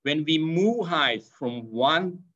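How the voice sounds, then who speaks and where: Accented professor on a slightly muffled video call. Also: male voice, a little high, lively intonation, takes it slowly, pushed and bright. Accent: Eastern European. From Yugoslavia. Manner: hesitant, many fillers and restarts. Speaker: veterinary professor. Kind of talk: online lecture. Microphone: video call webcam.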